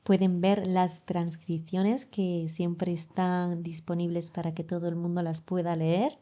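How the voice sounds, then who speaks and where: Flat, mellow woman. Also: on the low side, measured pace. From Andalusia, Spain. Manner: calm, gives everyday examples. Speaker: podcast co-host. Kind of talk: casual educational chat.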